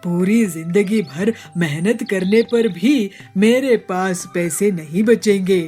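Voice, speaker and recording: A woman's voice: medium pitch, takes it slowly, warm tone, husky, soft background music. A woman voicing a poor, humble male bird rickshaw driver, earnest and deferential, in a children's cartoon story. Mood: worried.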